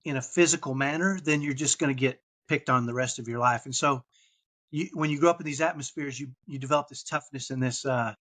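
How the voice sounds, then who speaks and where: A man's voice: mid-range voice, brisk, fairly bright tone. A man from Texas with a slight Texan accent, a podcast guest telling his story in casual chat with many restarts and fillers.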